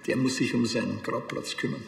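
A man's voice: mid-range voice, steady tone, brisk, vocal fry, roomy sound, slight background noise. An actor playing a blunt, sarcastic country policeman with a Bavarian accent and a dry, needling manner. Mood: mocking.

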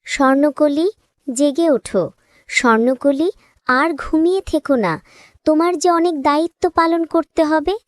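Woman as a high-voiced, solemn fairy. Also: a little slow, pauses often, no echo, studio quality. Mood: urging. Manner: solemn, urging. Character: solemn female fairy, protective guide. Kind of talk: Bengali fairy-tale cartoon voice acting.

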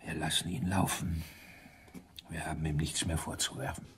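Gruff, husky police inspector. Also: male voice, extremely low pitch, flat delivery, normal speed, fairly bright tone, full character voice. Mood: irritated. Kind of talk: German radio crime drama acting.

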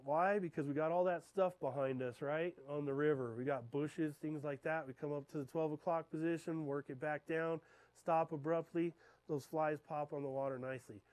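A man's voice: a little high, fairly even intonation, normal speed, a little mellow, dry recording, poor audio. Man teaching a fly fishing class. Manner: keeps checking in with the audience with "okay?". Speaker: fly fishing guide giving a presentation. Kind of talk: classroom talk to an audience. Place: dark lecture room beside a projector screen.

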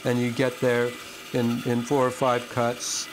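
An older man who carves shoe lasts, explaining his work calmly and step by step with frequent restarts while he cuts wood at a band saw in his workshop. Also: medium pitch, measured pace. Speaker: shoemaker and last carver. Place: workshop, indoors, next to a running band saw. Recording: clear power tool noise behind the voice.

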